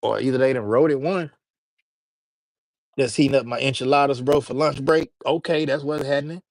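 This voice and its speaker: A man's voice: mid-range voice, steady tone, a little fast, no echo. A man from Alabama, hosting a livestream and chatting casually with his viewers in slangy talk. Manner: loose, slangy, talks straight to chat. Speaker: livestream host.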